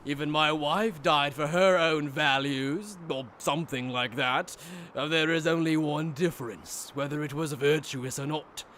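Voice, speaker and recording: A cruel, treasonous nobleman played by a man in an animated-style drama. His voice sits fairly high for a man and drips with smug, theatrical mockery. The speech is wordy and taunting, over faint noise. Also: unhurried. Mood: smug musing. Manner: mocking, theatrical, verbose. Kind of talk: dramatic fan-dub voice acting.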